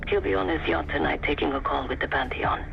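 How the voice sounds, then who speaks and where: An actor playing a menacing, calculating mercenary in a video game, laying out a job in a cold, taunting manner. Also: male voice, on the high side, quick pace. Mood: cold, scheming. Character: menacing, coolly calculating underworld mercenary plotting a hit. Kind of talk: scripted mission briefing. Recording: dull, muffled, with clear background noise.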